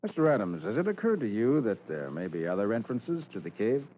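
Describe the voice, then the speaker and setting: An actor playing a cool, resolute detective in 1940s radio drama style, calm, commanding and deliberate. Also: male voice, lowish voice. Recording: dull, hissy old radio recording.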